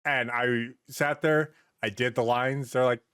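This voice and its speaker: A man's voice: mid-range voice, fairly even intonation, unhurried, bright, forceful tone, clean tone, no echo, clean studio sound. A man co-hosting a podcast, chatting casually and bluntly with plenty of swearing and repeated words. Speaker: podcast co-host. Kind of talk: casual chat, anecdotes and opinions.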